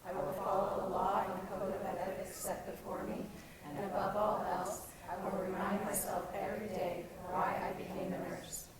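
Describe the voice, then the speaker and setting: A woman leading a graduation pledge with slow, measured, solemn phrasing. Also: medium pitch, little pitch movement. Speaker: ceremony leader. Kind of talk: reciting a pledge. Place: large hall. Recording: echoey hall from far off, crowd behind.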